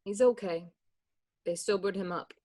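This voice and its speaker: A woman's voice: medium pitch, moderate pace, warm tone, lightly acted. A woman acting a frightened, distrustful mobster's wife and mother in an amateur radio play, emotional and insistent. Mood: worried.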